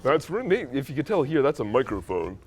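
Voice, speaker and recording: A young man on a college talk show, dry and jokey as he talks about himself. His pitch rises and falls a lot. There is a faint hiss in the background. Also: fast talker. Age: young adult. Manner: joking, dry, lively. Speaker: guest. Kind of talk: casual comedic interview. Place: college studio set.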